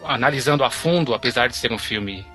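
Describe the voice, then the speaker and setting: A man's voice: mid-range voice, fast talker, sharp tone, faint music behind. A man hosting a film podcast, reading the credits and steering the talk with questions. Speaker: podcast host. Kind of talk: casual podcast discussion.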